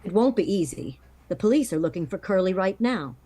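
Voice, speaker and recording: A woman's voice: mid-range voice, lively intonation, fairly quick, warm tone, close to an everyday voice. A woman playing a determined, earnest sister in a melodramatic 1940s-style radio drama. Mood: tense. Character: desperate, determined young sister pleading for her brother.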